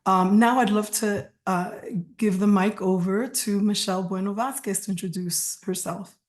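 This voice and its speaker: A woman moderating a panel over a video call. She talks with heartfelt warmth at a mid pitch and drops in Spanish phrases as greetings. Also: fairly even intonation, normal speed. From United States. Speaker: moderator and sociology professor.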